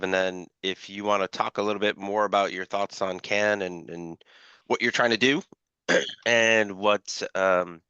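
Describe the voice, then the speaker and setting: A man chairing an online working-group call, his voice a little scratchy from allergies, talking haltingly with many fillers. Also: deep voice, vocal fry, bright, forceful tone, clean tone, no echo. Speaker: meeting chair. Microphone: video call. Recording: dull lo-fi call audio.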